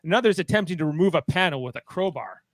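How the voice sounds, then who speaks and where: A man's voice: fairly high pitch, lively intonation, racing, dry recording. A man hosting and narrating a live amateur radio play in an informal, playful way. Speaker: host and narrator.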